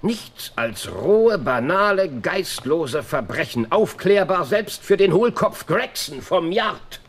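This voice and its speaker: An actor playing a sharp, brilliant, self-assured detective in a German radio play, speaking precisely and theatrically. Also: male voice, on the high side, big pitch swings, slow pace, breathy edge, heavily acted. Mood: restless, scornful.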